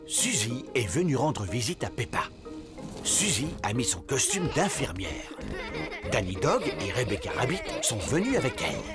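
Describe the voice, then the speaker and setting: A woman's voice: extremely low pitch, sing-song, normal speed. A woman playing the cheerful narrator of a French preschool cartoon. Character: cheerful female storybook narrator. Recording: loud music behind the voice.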